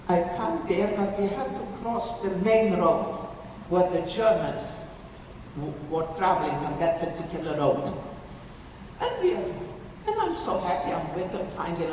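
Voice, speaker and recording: A woman, giving halting, emotional testimony as a survivor speaking to an audience. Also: fairly low pitch, sing-song, darkish tone. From Ukraine. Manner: halting, emotional, restarts phrases. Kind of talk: personal testimony talk to an audience. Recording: echoey hall from far off with faint noise.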